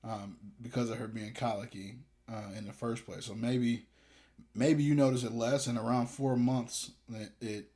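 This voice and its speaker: A young man co-hosting a casual podcast, rambling with hesitations and restarts. He drops in self-deprecating jokes. His voice has an ordinary middle pitch. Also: fairly even intonation, moderate pace, warm tone. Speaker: podcast co-host. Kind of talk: casual chat.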